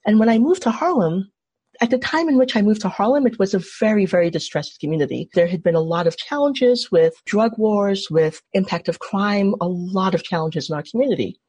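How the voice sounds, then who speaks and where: A woman's voice: medium pitch, brisk, a little mellow, slightly rough. A woman from Trinidad leading an online workshop, putting questions to the group and explaining in an engaging way over a video call. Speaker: workshop facilitator.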